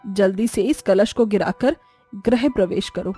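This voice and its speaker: A woman voicing a homely, bossy middle-aged mother-in-law who gives brisk instructions in an animated family story. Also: medium pitch, slightly rough, faint music behind, voice pushed down. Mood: welcoming, brisk.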